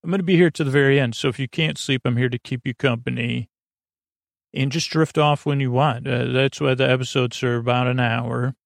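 A man hosting a bedtime podcast in a meandering, hesitant monologue, often interrupting himself, with a gravelly, creaky voice. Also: medium pitch, steady tone, fairly quick, no echo, clean studio sound. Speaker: podcast host.

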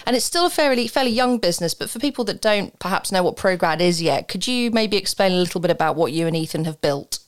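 A woman's voice: on the low side, expressive, fast, hardly any pauses. A woman hosting a podcast interview in a chatty, encouraging, conversational way.

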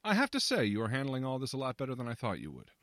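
A man acting in an audio drama as a probing, slightly impatient confidant with a measured, questioning, dry manner. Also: mid-range voice, huge pitch swings, a bit bright, no room sound. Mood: concerned.

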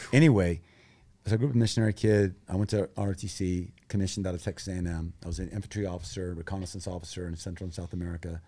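A guest who grew up in Thailand recounts hard experiences with intense, emotional urgency. His voice is low and dark, with a mellow warmth. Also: slightly rough. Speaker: guest, humanitarian group founder. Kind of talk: conversational interview talk.

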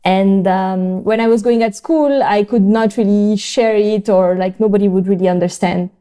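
A woman's voice: medium pitch, steady tone, normal speed, mellow, smooth. A young adult woman from France, a podcast guest, telling her story in a hesitant, thoughtful way with many fillers and restarts. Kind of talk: conversational interview.

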